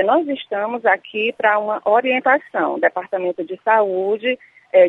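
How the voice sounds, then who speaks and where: A woman's voice: medium pitch, no room sound. A Brazilian Portuguese-speaking woman, a nurse, answering interview questions with many hesitations and fillers. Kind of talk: on-site radio interview. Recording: tinny phone-line sound.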